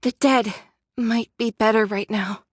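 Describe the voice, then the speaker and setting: A melancholy, pious young android woman broods in a high voice. She sounds withdrawn and easily hurt. The game drama is recorded dry, with no room sound. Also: steady tone, measured pace, husky, no room sound. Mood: morose. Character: gloomy, devout young female android, withdrawn and touchy.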